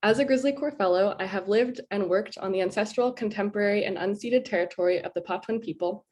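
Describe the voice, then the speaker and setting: A young woman with a head cold, sounding a little sniffly, giving a prepared presentation on her service term in an earnest, measured way with occasional ums. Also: medium pitch, darkish tone.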